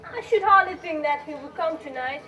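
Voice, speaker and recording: High-voiced grumbling father, acted by a young woman. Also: moderate pace, pushed and bright, lo-fi sound, a faint crowd. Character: grumbling, hospitable middle-aged father and husband. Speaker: student actor in a school play. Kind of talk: amateur stage acting. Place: school stage, recorded from a distance. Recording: distant noisy school stage recording.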